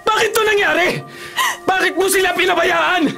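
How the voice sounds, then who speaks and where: A grieving father in a Filipino radio drama, bitterly accusing his wife. His voice is pitched extremely high with anguish and rage. Dramatic music plays clearly behind him. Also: normal speed.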